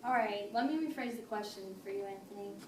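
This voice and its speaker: A woman playing a patient, firm family therapist, speaking in a measured, formal way in an amateur role-play. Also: medium pitch, lightly acted. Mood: mediating, patient. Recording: noisy echoey room, distant mic.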